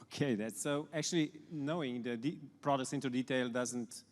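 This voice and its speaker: A man's voice: mid-range voice, normal speed. Joking male moderator in an echoey hall. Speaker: conference moderator, company director. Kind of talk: conference stage conversation. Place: conference hall.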